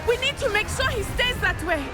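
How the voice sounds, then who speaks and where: A woman's voice: very high-pitched, animated, a little fast, over music. A woman playing an eager, bold teenage witch in a video game. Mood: urgent, spirited.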